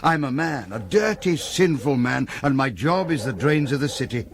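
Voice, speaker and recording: A man's voice: medium pitch, animated, normal speed, noisy background, lightly acted. An actor playing an earthy, cheeky sewer worker in his forties in a radio drama, blunt and bawdy. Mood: earthy, mocking.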